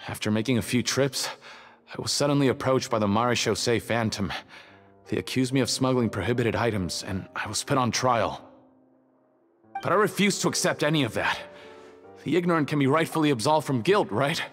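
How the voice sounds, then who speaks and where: A man's voice: mid-range voice, animated, a little fast, fairly bright tone, close to an everyday voice. A man voicing a jittery, resentful smuggler prisoner in a video game, defensive and self-justifying. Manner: stammering, defensive, indignant. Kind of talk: scripted video game dialogue. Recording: long hall reverb, music behind.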